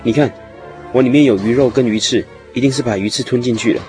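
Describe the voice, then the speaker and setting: A man playing a patient, steady father of small children in a radio drama, coaxing and explaining. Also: medium pitch, fast talker, soft background music, close to an everyday voice. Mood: concerned.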